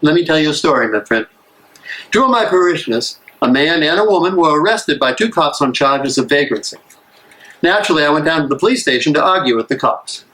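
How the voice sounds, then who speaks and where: A man acting an earnest, weary American minister of the 1930s in a live dramatic reading, speaking emphatically and deliberately. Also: a little high, expressive, a bit bright, low-quality recording. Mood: earnest storytelling. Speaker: actor in a dramatic play reading.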